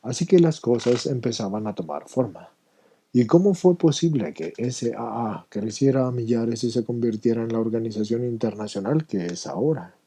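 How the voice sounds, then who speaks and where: A man reads a written testimony aloud in a middle-pitched voice. The tone is very dark and mellow, with a husky, slightly rough grain throughout. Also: normal speed. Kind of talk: reading a written first-person recovery story aloud.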